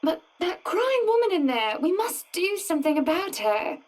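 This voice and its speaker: A woman's voice: very high voice, very animated, normal speed. An actress playing a polite, anxious young lady of a mansion in a video game, speaking genteelly.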